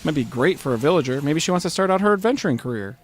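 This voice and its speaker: A man co-hosting a gaming video with casual, tongue-in-cheek commentary and deadpan explanations. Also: on the high side, rapid-fire. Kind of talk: casual bantering game commentary. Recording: faint room noise, game sounds behind.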